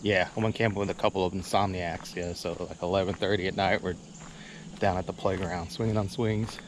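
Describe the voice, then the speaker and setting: A man's voice: deep voice, fairly even intonation, fairly quick. A middle-aged man chatting casually and in a rambling, laid-back way to viewers as he vlogs outdoors at a campground. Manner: laid-back, rambling, chuckles while talking. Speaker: vlog host. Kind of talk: casual outdoor vlog chat. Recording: outdoor noise, birds and insects.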